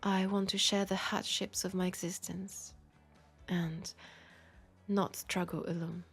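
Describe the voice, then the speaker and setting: A woman with a French accent playing a seductive, world-weary female vampire in an intimate audio roleplay, speaking softly and deliberately, often slipping toward a whisper. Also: medium pitch, flat delivery, husky, soft background music, heavily acted. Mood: weary, confiding.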